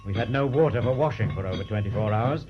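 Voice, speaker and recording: An actor playing a level-headed captive seaman, steady and firm, in a radio drama. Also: on the low side, quick pace, natural voice. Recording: dull lo-fi radio play, loud music behind.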